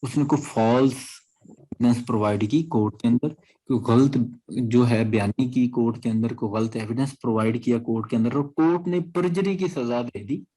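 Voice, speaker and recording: Pakistani male lecturer with a mellow voice. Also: mid-range voice, moderate pace, rough recording. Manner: didactic, repeats points and checks understanding. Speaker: law lecturer. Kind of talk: exam-prep lecture, reading out clauses and explaining them.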